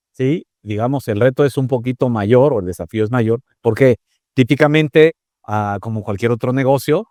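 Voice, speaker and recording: A man, a guest explaining telecom topics in a measured way, with many fillers and tag questions. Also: medium pitch, darkish tone, no echo, studio quality. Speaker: interview guest, telecom expert. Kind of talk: conversational interview.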